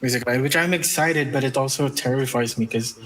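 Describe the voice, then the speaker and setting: A man's voice: mid-range voice, fairly quick, a bit bright, slight background noise, poor audio. A man chatting casually as an interview guest, reflective and modest, a little tentative. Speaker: interview guest, game composer.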